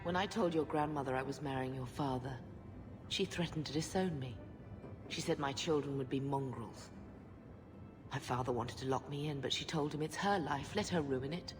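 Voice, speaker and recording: A woman's voice: very deep voice, normal speed, over music. An actress playing a proud, careworn middle-aged mother of noble birth in a video game, dignified and insistent. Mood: bitter, wistful.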